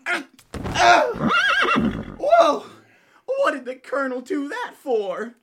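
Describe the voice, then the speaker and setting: A woman's voice: sits high, huge pitch swings, slow pace, heavy background noise, heavily acted. A woman playing a put-upon, folksy male cavalry corporal in a comic radio play, deferential and yelping, with rustic grammar. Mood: startled, hurt.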